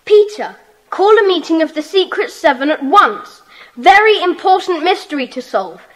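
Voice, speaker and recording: A woman playing a bossy, eager British schoolboy club leader in a children's audio drama, brisk and commanding. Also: very high-pitched, sing-song, poor audio. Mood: puzzled, excited.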